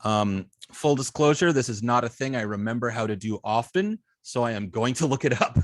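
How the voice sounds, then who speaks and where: A man teaching a class informally, speaking in a halting way and often repeating words as he thinks. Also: medium pitch, expressive, no room sound, studio quality. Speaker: web design class instructor. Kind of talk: informal online teaching and student check-ins.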